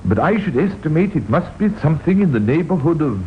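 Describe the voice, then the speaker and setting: A grave, deferential male official in an old radio crime drama, formal and a little hesitant. His pitch swings widely through a narrow, telephone-like recording with faint noise. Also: big pitch swings, fast talker. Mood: grave, concerned. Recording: thin, noisy vintage radio recording.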